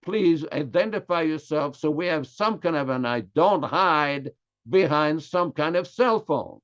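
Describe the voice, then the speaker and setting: A middle-aged man gives a devotional lecture in an earnest, insistent way, often repeating his points. His voice sits a little high for a man. The recording sounds muffled and lo-fi. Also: animated, a little slow, fairly bright tone. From Quebec, Canada. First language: French. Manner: earnest, emphatic, repeats himself. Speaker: temple speaker. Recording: dull lo-fi recording.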